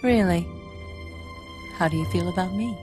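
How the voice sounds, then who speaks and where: A woman's voice: fairly low pitch, normal speed, lightly acted. A woman with an American accent voicing a confident, flirtatious female spy in a video game, self-assured, teasing and probing. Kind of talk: video game cutscene voice acting. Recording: music behind the voice.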